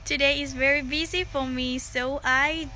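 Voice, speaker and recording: A woman who is not a native English speaker, talking casually to camera as a vlogger in simple, halting phrases. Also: high voice, steady tone, sharp tone, a little hiss or hum. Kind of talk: casual vlog talk to camera.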